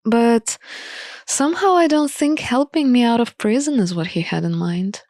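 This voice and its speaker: A woman's voice: fairly high pitch, moderate pace, darkish tone, no room sound. A woman reading scripted first-person story narration for a visual novel playthrough.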